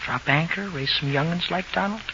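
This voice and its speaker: Casting: young worldly stable drifter, highish voice, colloquial, muffled vintage radio drama. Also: male voice, brisk, bright, forceful tone. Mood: gruff, wry. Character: young rough-and-ready drifter, former jockey turned stable hand. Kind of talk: 1940s radio acting. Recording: muffled, noisy old radio broadcast recording.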